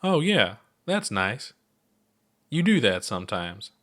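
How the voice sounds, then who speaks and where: A man voices a good-natured alien fiddler in an audio story. The figure teases gently and sounds quietly amused. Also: on the low side, sing-song, slow pace, heavily acted.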